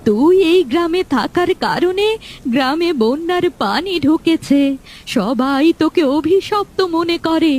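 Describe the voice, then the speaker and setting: A woman's voice: very high-pitched, lively intonation, a little slow, a little mellow. A woman playing a stern, fearful village mother and grandmother in a cartoon, scolding and fretting, with music underneath. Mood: worried. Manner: scolding, fretful. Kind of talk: Bengali cartoon story acting.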